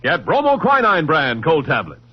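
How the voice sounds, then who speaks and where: A man delivering a 1950s radio commercial as an announcer, in an advertising pitch style. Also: wildly swooping pitch, moderate pace. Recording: muffled 1950s radio broadcast recording.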